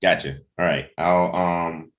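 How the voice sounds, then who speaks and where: Very deep-voiced man, casual and hesitant. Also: fairly even intonation, slow pace. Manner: informal, hesitant, thinking aloud. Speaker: host and comic creator leading a cast meeting. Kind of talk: casual livestream team chat.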